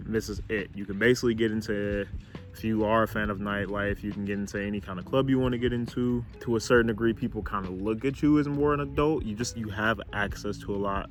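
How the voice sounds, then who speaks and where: A young man in his early twenties talks through his thoughts in a low, unhurried voice, trailing off and restarting as he searches for words. He is recorded outdoors on a camera mic, with faint music playing underneath. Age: 20s. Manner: rambling, hesitant, thinking aloud. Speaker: vlogger. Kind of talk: casual reflective vlog. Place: outdoors near a car with a city view.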